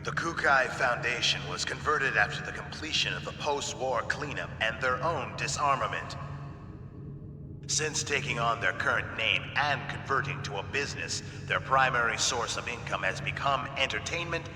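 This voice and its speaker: A man's voice: mid-range voice, expressive, moderate pace, close to an everyday voice. A man playing an earnest, reasoning parliament delegate in a video game, arguing and explaining. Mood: earnest, defensive. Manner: argumentative, explanatory. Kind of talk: video game cutscene acting. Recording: noisy echoey chamber, long reverb.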